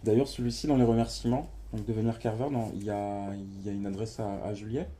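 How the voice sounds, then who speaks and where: A man with a low, very dark and mellow voice hosts a book launch at a bookshop. He questions his guest in a hesitant way, searching for words and filling pauses. Faint room sound runs underneath. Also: little pitch movement, slight background noise. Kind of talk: interviewing a guest at a live event. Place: bookshop event before an audience.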